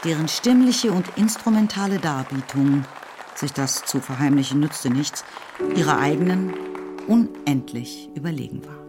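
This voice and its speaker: A woman narrating a radio drama in a measured, literary storytelling manner. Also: sits low, expressive, crowd noise. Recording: studio voice over loud music.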